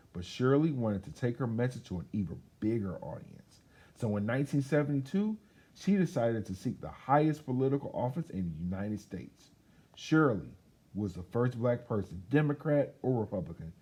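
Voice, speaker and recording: Husky man reading a storybook with lively swings. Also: lowish voice. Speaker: children's read-aloud host. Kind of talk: reading a children's picture book aloud.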